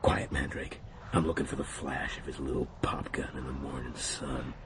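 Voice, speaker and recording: An actor playing a paranoid, ranting American military commander with a gravelly voice in a satirical radio play. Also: male voice, flat delivery, normal speed. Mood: low menace. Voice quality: gravelly, creaky. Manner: swings from hushed menace to shrill ranting. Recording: noisy radio drama recording.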